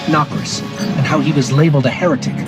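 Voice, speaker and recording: An actor playing a small, eager, anxious robot companion in a sci-fi video game, reporting in an earnest, alert way. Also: male voice, fairly high pitch, fairly even intonation, quick pace, close to an everyday voice. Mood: uneasy wonder. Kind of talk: dramatic video game cutscene acting. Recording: loud music behind the voice. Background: loud game soundtrack.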